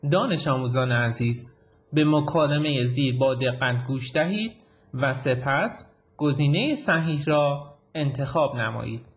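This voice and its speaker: A man's voice: medium pitch, racing. A man reading formal instructions to students in a textbook listening exercise. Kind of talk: scripted instructional announcement.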